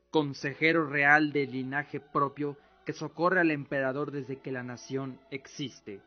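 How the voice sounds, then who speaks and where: A man narrating a scripted fantasy radio drama in a solemn, measured way. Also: on the high side. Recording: dull lo-fi recording with music. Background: music bed.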